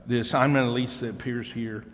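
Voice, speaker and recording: A man questioning as a council member at a formal public meeting, with halting, roundabout explanations full of pauses. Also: medium pitch, steady tone, slight background noise. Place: council chamber. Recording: echoey hall with long reverb.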